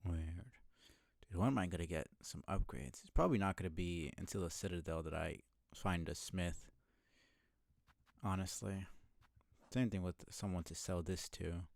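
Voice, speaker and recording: Deep voiced guy streaming a game, kinda croaky, just rambling and thinking out loud. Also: moderate pace, no room sound, clean studio sound. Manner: musing, rambling, mild swearing. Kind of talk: casual live gameplay commentary, thinking aloud.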